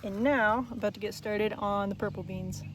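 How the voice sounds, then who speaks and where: A woman's voice: mid-range voice, fairly even intonation, moderate pace, soft background music. A young woman, chatting casually in a farm diary vlog, her voice a little strained from talking. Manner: chatty, plainspoken, lots of fillers. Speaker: farm vlogger. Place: outdoors on a farm garden. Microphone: phone held while walking.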